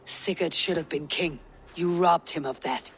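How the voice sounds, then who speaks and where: An actor playing a stern, loyal Viking warrior with a firm, terse and formal way of speaking in a video game drama. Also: male voice, fairly high pitch, measured pace, a bit bright, breathy edge, full character voice. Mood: accusing.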